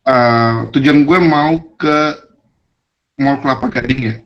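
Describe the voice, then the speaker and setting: A young man with a non-Jakarta regional Indonesian accent, telling stories as a podcast guest in a relaxed, rambling way. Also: medium pitch, slow, muffled recording. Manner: laid-back, rambling with fillers and laughs. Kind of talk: casual storytelling chat.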